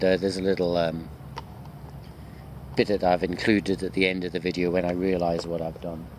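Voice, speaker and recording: A man's voice: low voice, a little mellow, a little hiss or hum. A man lecturing informally to his students in a walking video chat, self-deprecating and conversational, rambling as he goes. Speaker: university lecturer.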